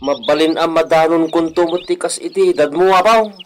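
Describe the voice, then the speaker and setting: A man's voice: fairly high pitch, fairly even intonation, fairly quick, slight background noise, natural voice. A man with an Ilocano accent playing a respectful, good-humored villager in a radio comedy-drama, with birdsong behind him. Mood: respectful, amused.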